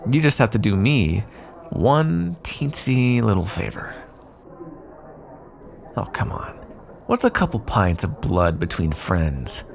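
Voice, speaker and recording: A man's voice: on the low side, creaky, full character voice. A man playing a sly, teasing vampire in an intimate, sarcastic ASMR roleplay monologue. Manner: sarcastic, teasing, drawling asides. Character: sly teasing male vampire bargaining with his hunter rival.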